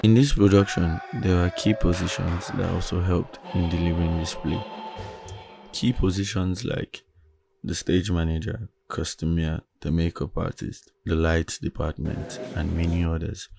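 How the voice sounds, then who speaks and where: A man's voice: sits low, flat delivery, moderate pace, vocal fry, music in the background. A man reading scripted narration for a documentary as the interviewer.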